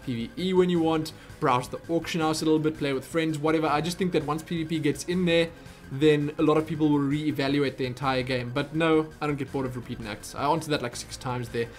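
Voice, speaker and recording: Chatty South African man, fairly high voice. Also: steady tone, quick pace, faint music behind. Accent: South African English. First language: Afrikaans. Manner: chatty, rambling, self-interrupting. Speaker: YouTube Q&A host. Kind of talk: casual viewer Q&A.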